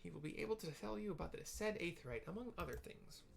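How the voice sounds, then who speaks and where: A person reading game dialogue aloud as a formal, earnest fantasy character, with theatrical, archaic, deliberate delivery. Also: mid-range voice, lively intonation, creaky, background noise, pitched above the performer's own voice. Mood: grave, earnest. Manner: theatrical, stately, deliberate wording.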